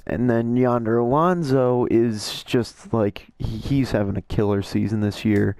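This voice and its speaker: A man with a hoarse, slightly worn-out voice gives casual, rambling commentary as a YouTube creator, often correcting himself mid-sentence as he shares his opinions. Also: on the low side, a little mellow, no room sound. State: hoarse, voice a little shot. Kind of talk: casual commentary over an on-screen roster.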